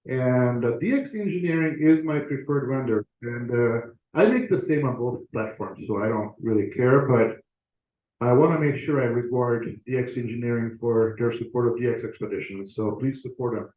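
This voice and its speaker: A man's voice: medium pitch, moderate pace. A man giving an informal, conversational talk as a guest speaker to an audience, with a self-deprecating touch. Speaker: author and guest speaker presenting to a club. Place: meeting room, talk to a live audience. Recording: echoey room, slightly muffled audio.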